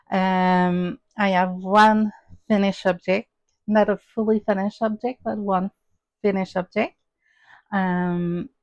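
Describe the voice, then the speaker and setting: Slow, hesitant woman with a Québécois French accent. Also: mid-range voice, smooth, no room sound. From Quebec, Canada. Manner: hesitant, many "um" and "uh" fillers. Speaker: crafting channel host. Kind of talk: casual show-and-tell vlog.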